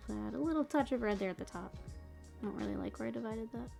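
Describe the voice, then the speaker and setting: A woman's voice: on the high side, quick pace, vocal fry, mellow. Thirties woman, northern US accent with a Texas lilt, chatty streamer painting and reading chat, light music behind. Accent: mixed northern US and Texas. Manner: chatty, reads chat aloud, laughs often. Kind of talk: casual livestream chat while painting.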